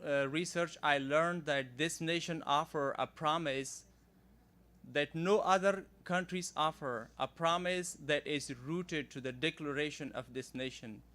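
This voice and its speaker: A man from Afghanistan, a parent giving an earnest, halting public comment at a podium microphone in a school board meeting room. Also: fairly high pitch, bright, forceful tone. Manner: earnest, halting with frequent fillers.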